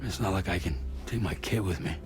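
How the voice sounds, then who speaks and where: An actor playing a gruff, wary, reluctant courier in a cinematic video game, terse and skeptical with a gravelly voice. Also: male voice, brisk, over music, lightly acted. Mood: reluctant, concerned. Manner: terse, skeptical, low-key.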